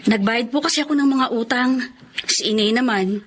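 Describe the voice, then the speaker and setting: An actress with a Filipino accent playing an earnest, protective young daughter and older sister in a radio drama. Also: female voice, fairly high pitch, moderate pace, a little hiss or hum, lightly acted. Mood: polite, troubled. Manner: pleading, emphatic.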